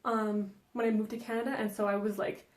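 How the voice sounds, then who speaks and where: A woman's voice: mid-range voice, flat delivery, a little fast, roomy sound. A young woman who grew up speaking Danish, answering viewer questions in a chatty vlog, with frequent ums and likes. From Denmark. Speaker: vlogger. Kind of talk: casual Q&A vlog.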